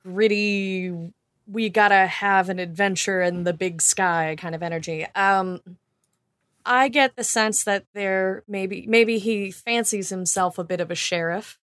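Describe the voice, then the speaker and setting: Casting: female podcast co-host, unscripted and conversational, halting as she works out ideas, dry sound. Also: mid-range voice, fairly bright tone, no echo. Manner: halting, thinking aloud, colloquial. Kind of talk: casual unscripted podcast chat.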